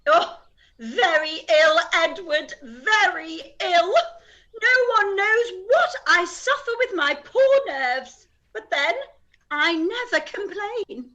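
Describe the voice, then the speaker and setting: Shrill, fretful mother. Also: animated, slow pace, bright, forceful tone, full character voice, poor audio. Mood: fussing complaint. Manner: melodramatic, complaining, exclaiming. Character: fretful, self-pitying, theatrical middle-aged mother. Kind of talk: theatrical period radio play.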